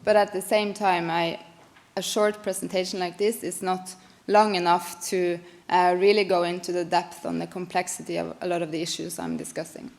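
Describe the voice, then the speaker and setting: A woman's voice: lowish voice, little pitch movement, normal speed, darkish tone. A woman in her thirties from northern Norway giving a conference presentation, informal and hesitant with frequent fillers. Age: 30s. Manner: informal, hesitant, some laughter. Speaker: conference speaker. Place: conference hall.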